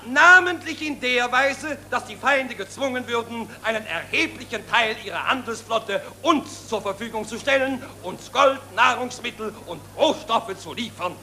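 A man's voice: very high-pitched, animated, normal speed, hardly any pauses. A man acting a fanatical German nationalist orator, declaiming stridently at a rally in a 1940s radio play. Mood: strident, demanding. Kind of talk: 1940s radio drama political speech. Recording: noisy 1940s radio recording, crowd behind.

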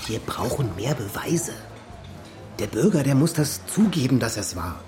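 Actor as a brash, animated amateur sleuth. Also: male voice, mid-range voice, moderate pace, faint music behind, lightly acted. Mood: insistent. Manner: blunt, colloquial, improvising with halts. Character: brash, improvising male amateur sleuth, pushy and bluffing. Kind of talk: German radio crime drama acting.

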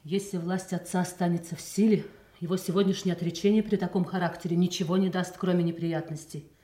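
A woman's voice: on the low side, fairly even intonation, fast, dark tone, lightly acted. A woman playing a cold, calculating elder princess conspiring in a classical radio drama. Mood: scheming. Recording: echoey studio radio play.

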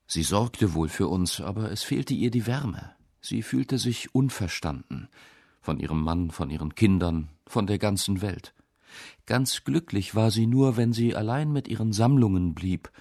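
Male reciter of quotes and verse, gravelly edge, very dry recording. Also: fairly low pitch, lively intonation, a little slow, darkish tone, dry recording. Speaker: reader of quotations and poems. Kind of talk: scripted literary recitation.

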